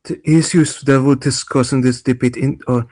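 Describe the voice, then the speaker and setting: A man's voice: medium pitch, fairly even intonation, normal speed, mellow, close to an everyday voice. A man playing a polite election debate moderator, reading formal introductions from a script. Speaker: actor in an amateur table read.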